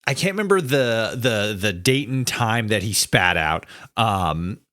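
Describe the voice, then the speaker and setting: A man in a casual podcast conversation, speaking haltingly as he searches for words and repeats himself. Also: lowish voice, lively intonation, bright, forceful tone. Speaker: podcast guest, audio drama writer. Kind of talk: casual chat.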